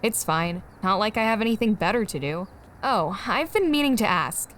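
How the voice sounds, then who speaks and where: A woman's voice: mid-range voice, expressive, moderate pace, fairly bright tone, a little hiss or hum, close to an everyday voice. A woman playing a blunt, nonchalant teenage girl in an amateur comic dub. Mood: nonchalant, curious.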